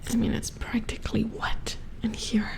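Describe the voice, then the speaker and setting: A woman playing a gentle, squeamish robot repair engineer in a hushed, hesitant ASMR roleplay, murmuring to herself. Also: on the high side, monotone, moderate pace, gravelly. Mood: puzzled, squeamish. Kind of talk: soft-spoken whispered ASMR roleplay.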